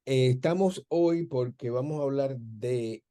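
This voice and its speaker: A man's voice: medium pitch, unhurried, mellow. A man hosting a conversational interview, phrasing his questions in a hesitant, circling way. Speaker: interview host, film director.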